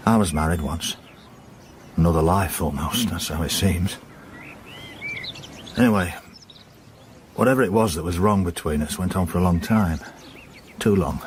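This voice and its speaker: A male actor gives us a middle-aged police inspector with a very deep voice. He is terse, dry and quietly probing in a naturalistic radio drama. Background noise sits clearly behind him. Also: moderate pace, pauses often, close to an everyday voice. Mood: wistful, reflective. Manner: understated, terse, sardonic questioning.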